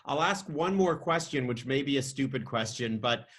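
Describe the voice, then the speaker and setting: A man's voice: medium pitch, lively intonation, normal speed, a bit bright. A man hosting an interview, speaking in a friendly, appreciative way with some fillers. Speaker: interviewer, host.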